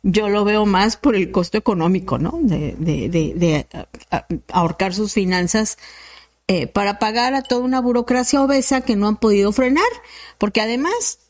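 A woman's voice: medium pitch, normal speed, darkish tone. A woman in her fifties with a Mexican accent, hosting a radio news show and giving blunt, casual opinions. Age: 50s. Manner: outspoken, opinionated, slangy.

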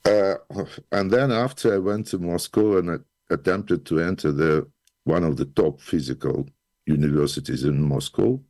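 Male guest, extremely low gravelly voice, dry close sound, measured answers. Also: darkish tone, no echo. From Ukraine. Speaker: guest, scientist and musician. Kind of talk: interview answers.

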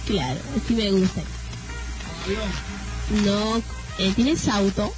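A woman's voice: mid-range voice, slow pace, music in the background, poor audio. A woman hosting a comic radio show, talking in a coy, flirty way full of cute diminutives. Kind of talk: playful comic radio banter.

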